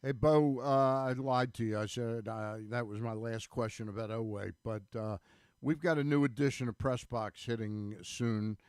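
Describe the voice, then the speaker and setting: A man's voice: medium pitch, fairly even intonation, moderate pace, a little mellow, clear, resonant tone, no room sound. A man chatting casually as a regular co-host on sports radio, close to a studio microphone.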